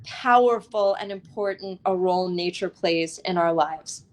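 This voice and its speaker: A woman's voice: lowish voice, measured pace. Earnest, reflective woman in conversation. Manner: earnest, reflective, spiritual-teacher phrasing with tag questions. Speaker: author interviewed as a guest. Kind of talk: conversational interview.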